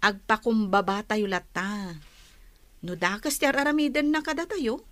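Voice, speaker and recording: An actress playing a practical, sensible wife in a radio drama, calm and gently reasoning. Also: female voice, mid-range voice, moderate pace, lightly acted.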